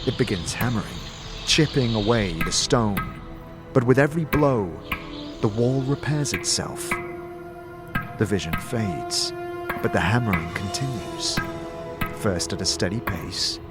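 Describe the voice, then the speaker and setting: A man's voice: fairly low pitch, lively intonation, a little slow, long pauses. A man reading dramatic, scripted second-person narration of a fantasy story. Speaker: narrator and game master. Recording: music under the voice. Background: background music.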